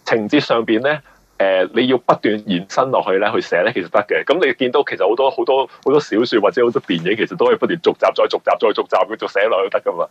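A man with a Hong Kong Cantonese accent, a novelist explaining his work in a conversational interview, often halting and repeating words. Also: fairly low pitch, husky, no echo, highs cut off. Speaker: novelist guest.

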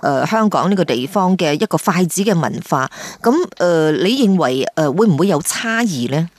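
Low-voiced woman hosting radio. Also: animated, moderate pace, no room sound. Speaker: radio programme host. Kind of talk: radio presenting and interviewing.

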